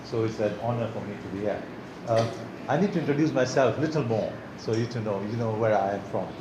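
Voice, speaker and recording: An older man from Tanzania giving an academic lecture as a guest speaker, talking in a relaxed, conversational way and restarting his words as he goes. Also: fairly low pitch, fast talker. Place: lecture hall. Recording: echoey lecture hall with background noise.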